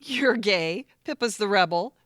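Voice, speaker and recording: Prim, judgmental big sister, very animated woman acting. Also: mid-range voice, measured pace, dry recording, clean studio sound. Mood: wounded, bitter. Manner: cutting, disapproving, sarcastic. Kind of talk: audio theatre acting.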